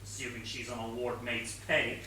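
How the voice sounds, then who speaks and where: A man playing an earnest, know-it-all junior detective sidekick in a comic stage play, explaining things deliberately. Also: medium pitch, flat delivery, pushed and bright, lightly acted. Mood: knowing, analytical. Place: theatre stage, picked up from a distance. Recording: distant echoey theatre stage recording, faint noise.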